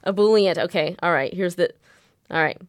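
A young American woman hosting a radio show in casual chat, animated, laughing often and swearing freely. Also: fairly low pitch, normal speed, dry recording, studio quality. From United States.

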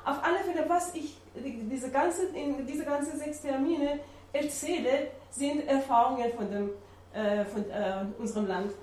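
A woman with a Persian accent gives a public lecture at an unhurried pace. Her voice sits high, and she pauses often with fillers while she searches for words. The room carries a noticeable echo. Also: darkish tone, slight background noise. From Iran. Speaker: adult-education lecturer. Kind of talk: public lecture with map slides.

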